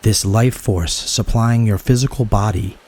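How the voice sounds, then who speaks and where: A man guiding a yoga nidra meditation in a soothing, unhurried way, speaking in short phrases. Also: lowish voice, a little mellow. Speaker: guided meditation teacher.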